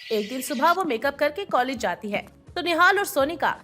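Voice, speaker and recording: A woman reading scripted narration for an animated moral story. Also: high voice, moderate pace, faint music behind.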